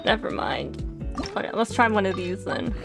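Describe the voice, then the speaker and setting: A woman's voice: mid-range voice, steady tone, normal speed. A young woman from Texas with a light southern accent, chatting casually and giggling while playing a game. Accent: Texan. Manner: giggly, chatty, frequent laughs. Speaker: VTuber streamer. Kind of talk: casual gameplay chat. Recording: game music behind. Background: lofi background music.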